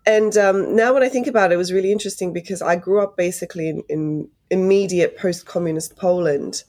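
A woman's voice: on the low side, fairly quick, a little mellow. A young woman from Australia, speaking as a podcast guest, thoughtful and searching for words, laughing lightly. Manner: thoughtful, many fillers and restarts, laughs lightly. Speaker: guest, climate correspondent. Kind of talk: casual interview. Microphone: video call.

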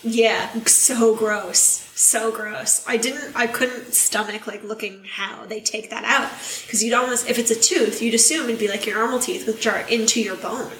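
A woman co-hosting a podcast, losing her voice, telling stories in a chatty, animated way. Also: fairly high pitch, normal speed, few pauses, a little hiss or hum. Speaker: podcast co-host. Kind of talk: casual chat. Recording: echoey room.

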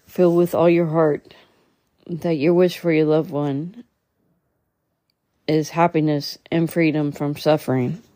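A woman leading a guided meditation in a soothing, measured way, occasionally stumbling as she reads. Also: sits low, flat delivery, mellow, dry recording. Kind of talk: guided meditation, slow instructional reading.

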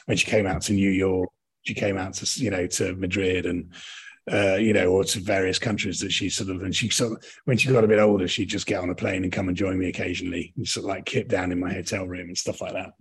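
Husky, low-voiced British man. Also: fairly even intonation, fairly quick. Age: middle-aged. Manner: rambling, hedging, often rephrasing mid-sentence. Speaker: interview guest, touring actor and director. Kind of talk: conversational interview answers, reminiscing.

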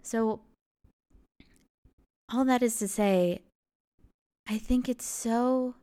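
A young woman hosts a solo podcast and speaks at a slow, unhurried pace. Her voice sits in a middle pitch, and she sounds earnest and encouraging throughout. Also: warm tone. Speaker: podcast host. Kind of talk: reflective solo podcast monologue.

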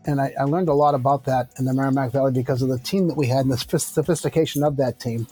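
A man in his sixties, a company founder, answering interview questions in a measured, thoughtful way with frequent fillers and restarts. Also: medium pitch, steady tone, dark tone, slightly rough, faint music behind. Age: 60s.

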